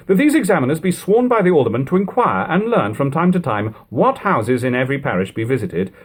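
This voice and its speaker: Man reading an old historical text aloud. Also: mid-range voice. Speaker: amateur audiobook reader and host. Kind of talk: literary audiobook reading of an archaic historical text.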